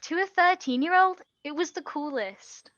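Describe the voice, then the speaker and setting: High-voiced, tender young woman, played by an actress. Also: fairly bright tone, no echo, lo-fi sound. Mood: earnest, eager. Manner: halting, trailing off mid-sentence. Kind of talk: stage play acting, quiet two-hander.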